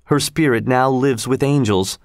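A man's voice: mid-range voice, a little slow, darkish tone, dry recording, studio quality, lightly acted. A man reading aloud, voicing a young servant who speaks respectfully and apologetically. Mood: deferential. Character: young male servant, loyal and deferential.

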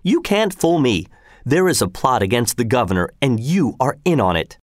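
A man's voice: medium pitch, sing-song, moderate pace, no echo, clean studio sound. Man doing a nagging, fretful mother. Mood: anxious. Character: scolding worried wife and mother. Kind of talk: audiobook character reading.

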